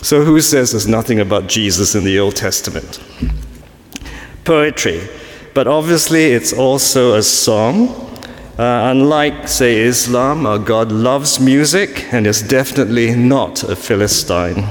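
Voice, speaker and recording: A man from Singapore preaches to a family congregation in a loose, chatty way, tossing in self-mocking jokes and plenty of ums. His voice sits at an ordinary middle pitch and rings around a big church, with a long reverb tail after each phrase. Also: measured pace. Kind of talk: sermon to a family church service. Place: large church.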